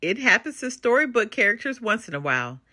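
A woman storyteller giving an expressive read-aloud of a children's book. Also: a little high, fairly quick.

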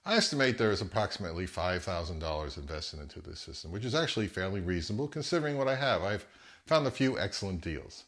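A man's voice: low-pitched, expressive, fast, fairly bright tone. A man in his fifties with an American accent, talking casually as the host of a vlog. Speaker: host of a hi-fi audio channel.

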